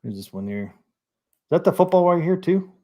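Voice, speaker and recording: A man's voice: high voice, sing-song, moderate pace, mellow. A man hosting a casual live stream, chatting and reading out viewer comments. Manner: easygoing, reads out viewer comments.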